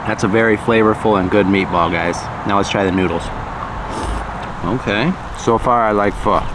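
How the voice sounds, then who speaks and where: An American man with a fairly low voice talks slowly and plainly. He pauses between bites of food and stops to explain words for learners. Traffic and street noise hum steadily behind him. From United States. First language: English. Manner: slow, deliberate, explains words as he goes. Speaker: language teacher and vlogger. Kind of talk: slow, clear English lesson vlog.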